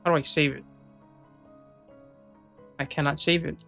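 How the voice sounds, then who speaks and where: Gravelly, sarcastic man commenting on a game. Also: fairly high pitch, flat delivery, unhurried, sharp tone, soft background music. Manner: sweary, sarcastic, grumbling. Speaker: game streamer. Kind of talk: casual playthrough commentary.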